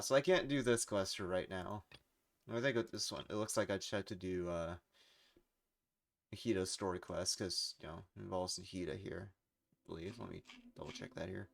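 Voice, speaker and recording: A man's voice: fairly low pitch, vocal fry, fairly bright tone. A man casually commentating on a game as he plays live, rambling and thinking aloud. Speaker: game streamer.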